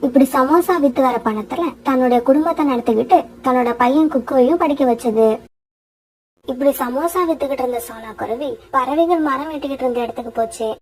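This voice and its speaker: A woman's voice: high-pitched, brisk. A woman narrating a scripted children's fairytale.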